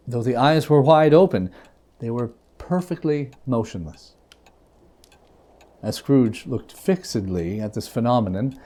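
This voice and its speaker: A man narrates a literary tale with theatrical flair. His mellow voice moves widely up and down in pitch. He is deliberate, drawing out key words for emphasis. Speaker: storyteller reading a classic tale aloud.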